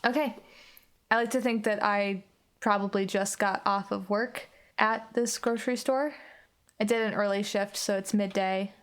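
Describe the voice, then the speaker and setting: A woman's voice: medium pitch, fairly even intonation, moderate pace. A woman on an actual play podcast, narrating improvised roleplay in a casual, hesitant way with lots of "like".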